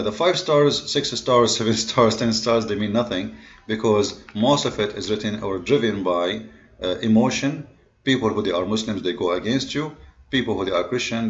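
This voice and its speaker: A man with a fairly low voice, giving a loose lecture-style talk. His speech stops and starts, full of fillers and repeated words, with grammar. The recording is dull and lo-fi. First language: Arabic. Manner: halting, many fillers and restarts, non-native grammar. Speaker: online religious debater and host. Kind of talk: casual lecture-style monologue. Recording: dull lo-fi sound.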